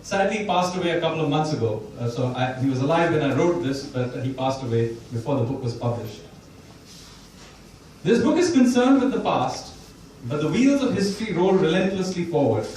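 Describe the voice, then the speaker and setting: A man's voice: on the high side, big pitch swings, a little mellow, distant mic, slight background noise. Man speaking in a very echoey room. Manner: thoughtful, with pauses and restarts. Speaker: author at a book launch. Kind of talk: talk and reading from his book. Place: bookstore event room, indoors. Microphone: distant mic or none.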